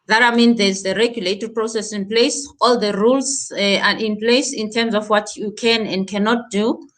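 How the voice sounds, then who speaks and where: A woman answers panel questions at a mid-range pitch. Her pace is measured and hesitant, and she fills her pauses often as she thinks. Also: steady tone. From South Africa. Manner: measured, hesitant, lots of fillers. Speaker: telecom regulator, panelist.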